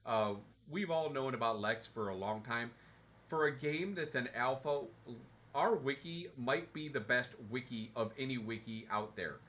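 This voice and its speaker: A man with a bright, forceful voice chats casually on a home game stream, sitting back in a recliner. He reads viewer comments aloud and answers them in a loose, rambling way, often restarting sentences and filling gaps with "uh" and "um." He sometimes drifts away from his desk mic. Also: mid-range voice, a little hiss or hum. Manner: relaxed, rambling, friendly, many fillers and restarts. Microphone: desk mic, sometimes too far from it.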